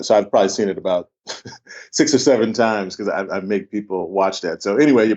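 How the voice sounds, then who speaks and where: A man's voice: lowish voice, a little mellow. A man hosting a podcast, chatting casually and informally, often repeating himself as he goes. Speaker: podcast host. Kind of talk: casual interview chat. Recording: slightly dull, lo-fi microphone.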